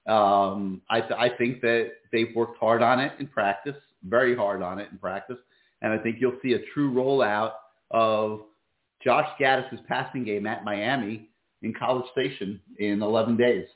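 A man hosting a sports call-in show, talking casually with many fillers, his voice going hoarse. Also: fairly low pitch, normal speed, roomy sound, phone-quality sound. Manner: relaxed, many fillers and restarts. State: losing his voice. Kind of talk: casual call-in radio talk.

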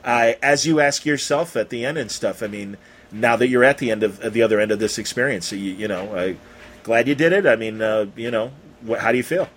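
Husky American man, rambling and effusive. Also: medium pitch, brisk. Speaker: podcast interviewer. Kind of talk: casual conversational interview.

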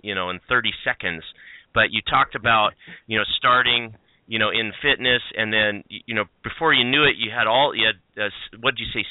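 A man's voice: medium pitch, dry recording. A man hosting an interview podcast, talking enthusiastically and rambling through his questions with lots of restarts. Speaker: podcast host. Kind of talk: casual interview chat. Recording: dry, tinny phone-like line.

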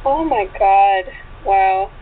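A woman's voice: mid-range voice, animated, very slow. Effusive woman on a tinny phone line. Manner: effusive, informal. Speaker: guest, actress and audiobook voice performer. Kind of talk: casual chat. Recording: tinny phone-line sound with faint noise.

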